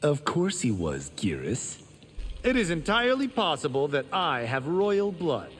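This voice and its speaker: A man's voice: a little high, wildly swooping pitch, a little slow. An actor playing a proud, boastful young male martial arts champion in a video game, with a smug, self-assured, grand delivery. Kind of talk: video game character dialogue.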